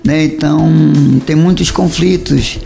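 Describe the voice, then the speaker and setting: A man with a northern Brazilian accent giving halting, informal answers in an interview as a community leader. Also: on the high side, fairly even intonation, gravelly, a little mellow, faint music behind. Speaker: interviewee, community federation president.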